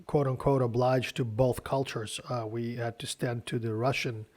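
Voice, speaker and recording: A man, a guest speaking in a measured, explanatory way. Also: medium pitch, steady tone, darkish tone. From Ukraine. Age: 40s. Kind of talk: casual podcast interview.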